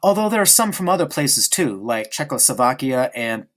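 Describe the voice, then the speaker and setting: A narrator voicing a pale, quiet, frightened young boy in an audiobook, speaking softly and sadly. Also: mid-range voice, sing-song, moderate pace, voice pushed up, close to an everyday voice. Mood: subdued. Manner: quiet, subdued, sad. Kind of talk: audiobook character reading.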